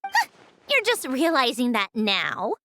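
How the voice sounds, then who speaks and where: A woman's voice: sits high, huge pitch swings, a little slow, sharp tone, no echo, exaggerated voice. A woman voicing an aloof, sardonic female scientist in a video game, with a dry, condescending, lecturing manner. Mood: wry.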